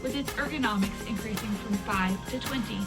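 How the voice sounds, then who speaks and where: A woman playing a wartime radio news presenter, reading a scripted military bulletin in a measured, formal announcer delivery. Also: on the high side, close to an everyday voice. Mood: composed, official. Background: loud music bed.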